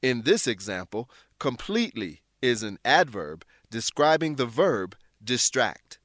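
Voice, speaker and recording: A man narrating a scripted English lesson as a teacher, explaining words in a deliberate, instructional manner. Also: mid-range voice, big pitch swings, a bit bright, dry recording, studio quality.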